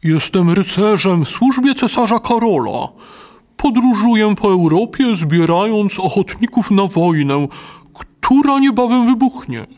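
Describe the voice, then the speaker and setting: A man playing a solemn elderly knight in an audio story, declaiming gravely. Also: high voice, lively intonation, measured pace, a little mellow. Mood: solemn, zealous. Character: solemn slender elderly male knight recruiting for war. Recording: long hall reverb.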